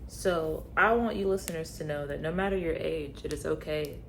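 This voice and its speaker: A woman in her thirties co-hosts a casual podcast chat, her voice sitting a little low. She rambles through a story with lots of ums and likes. A faint hiss runs under the recording. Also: normal speed, creaky. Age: 30s. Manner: rambling storyteller with many fillers. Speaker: podcast co-host.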